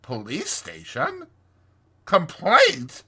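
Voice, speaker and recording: A man voicing a grandiose, besotted toad in a storybook, gushing dreamily and dramatically with drawn-out words. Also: sits high, wildly swooping pitch, bright, forceful tone, slightly rough, pitched above the performer's own voice, exaggerated voice. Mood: dreamy, indignant. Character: grandiose, giddy male toad, besotted and theatrical.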